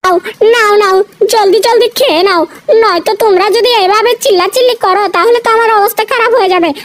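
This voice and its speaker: A woman's voice: very high-pitched, fast talker. A woman voicing a small cartoon mouse who panics and exclaims in alarm. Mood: frantic.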